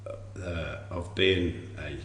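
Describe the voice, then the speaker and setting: Deep-voiced man interviewing in an echoey room. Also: fairly even intonation, extremely slow, a bit bright. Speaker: podcast interviewer and host. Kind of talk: casual, reflective interview questioning. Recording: echoey room, faint background hum.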